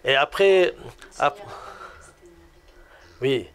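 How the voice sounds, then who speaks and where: Smooth-voiced man with a Lebanese accent. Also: a little high, expressive, very slow, a bit bright. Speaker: guest giving a religious testimony. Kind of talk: personal testimony in conversation.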